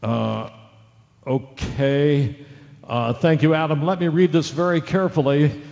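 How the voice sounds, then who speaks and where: A man's voice: fairly high pitch, steady tone, gravelly, darkish tone. A man playing an earnest, hesitant radio newsman delivering breaking news in an audio drama. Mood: grave. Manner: halting, careful, apologetic. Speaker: news reader. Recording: dull lo-fi audio with long reverb.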